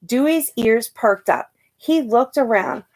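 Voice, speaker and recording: Slow-paced woman reading a picture book. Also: a little high. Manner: expressive, pausing to question and point things out to young listeners. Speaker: storytime reader and teacher. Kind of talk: read-aloud of a children's picture book.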